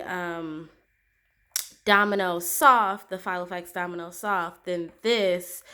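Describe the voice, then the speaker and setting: Casual home vlogger, woman with a cold: congested, sore-throated, slow and chatty. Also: mid-range voice, a bit bright. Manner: chatty, loose, with many ums and likes. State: sore throat, clears throat often. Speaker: planner vlogger. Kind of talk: casual product comparison. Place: at home, at a desk. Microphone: camera aimed down at the desk, speaker off frame.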